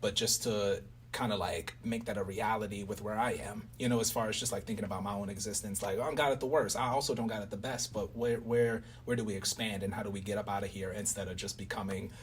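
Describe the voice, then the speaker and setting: Low-voiced American man, rambling interviewer. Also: steady tone, quick pace, hardly any pauses, recorded from a distance, slight background noise. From Baltimore, United States. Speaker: interviewer, radio play writer. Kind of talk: casual conversational interview.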